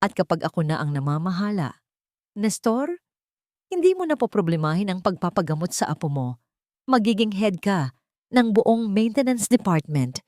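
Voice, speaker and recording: A woman plays a scheming man in an audio drama. He sweet-talks softly and persuasively, coaxing with ambition underneath. The recording is dry. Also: medium pitch, sing-song, normal speed, dry recording, natural voice. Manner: gentle, seductive, persuasive.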